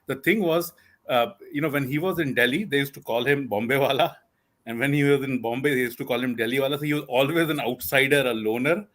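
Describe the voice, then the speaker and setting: A man with a bright, forceful voice joins a casual panel discussion as a guest. He speaks in an animated way, often hedging with "you know". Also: medium pitch, fast talker.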